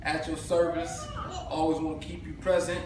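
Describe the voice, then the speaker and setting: A man's voice: a little high, flat delivery, normal speed. A man, co-hosting a casual chat as a realtor, talking in a laid-back, joking way. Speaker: realtor co-host. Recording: echoey room from far off, noisy.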